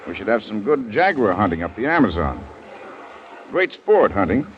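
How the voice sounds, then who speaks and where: Animated, low-voiced, uneasy gentleman hunter. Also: normal speed. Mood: musing. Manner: musing, hesitant. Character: uneasy, thoughtful gentleman hunter and yacht host. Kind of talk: 1940s radio drama acting. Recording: dull, noisy old radio recording.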